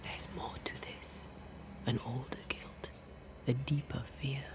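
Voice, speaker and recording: A woman playing a shrewd, blunt ghostly companion in a game cutscene, speaking in a hushed, confiding manner. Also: very deep voice, little pitch movement, slow, whispered, exaggerated voice. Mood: conspiratorial. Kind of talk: dramatic game cutscene acting. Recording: music clearly behind the voice.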